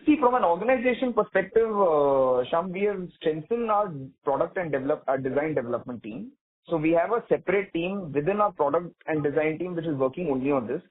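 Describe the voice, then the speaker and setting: A man's voice: a little high, animated, brisk. Indian-accented man on a tinny phone line. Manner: explanatory, repeats and rephrases points. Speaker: company executive answering analysts. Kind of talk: earnings call question and answer. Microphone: telephone conference call.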